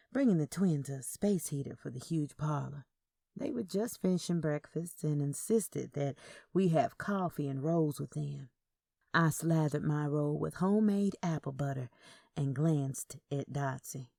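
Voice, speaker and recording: Gravelly, lower-voiced woman narrating a story. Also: animated, unhurried, mellow, no echo, studio quality. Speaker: audio fiction narrator. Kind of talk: scripted first-person story narration.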